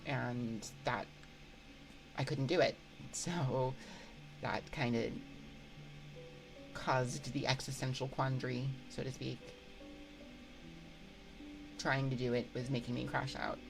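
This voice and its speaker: A woman hosting a casual livestream chat, thinking aloud in a hesitant, halting way into a close microphone. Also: extremely low pitch, fairly even intonation, gravelly, over music, low-quality recording. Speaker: livestream host. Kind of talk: casual livestream chat, answering viewer comments. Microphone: close binaural microphone.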